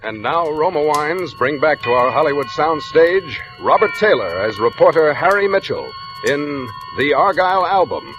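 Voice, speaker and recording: A man's voice: a little high, very animated, normal speed, music in the background. An American man announcing a 1940s radio suspense drama in a formal, theatrical manner. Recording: dull lo-fi vintage 1940s radio recording.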